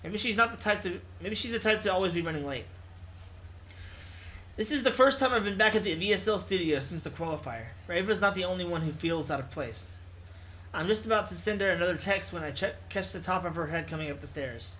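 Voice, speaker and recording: High-pitched, bright-voiced man reading aloud. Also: fairly quick, a little hiss or hum, poor audio. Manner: stumbles over words and corrects himself. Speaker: let's player reading a visual novel aloud. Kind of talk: reading game text aloud with asides. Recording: music playing behind the voice.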